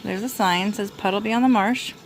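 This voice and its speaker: A young adult woman reading a children's storybook aloud as a mother does, gently prompting a small child. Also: medium pitch, a little fast, slight background noise, poor audio. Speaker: mother reading to her toddler.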